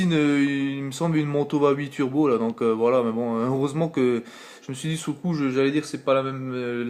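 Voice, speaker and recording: A man's voice: medium pitch, darkish tone. A man chatting casually as an amateur radio operator, talkative and informal, often trailing off into filler tags. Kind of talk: casual radio contact chat.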